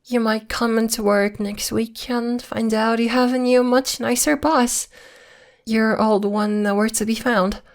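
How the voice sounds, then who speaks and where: A woman's voice: fairly high pitch, fairly even intonation, a little slow. A woman playing a possessive girlfriend who is secretly a witch, coy and sweetly menacing, in an ASMR roleplay. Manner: coy, teasing, veiled threats in a sweet tone.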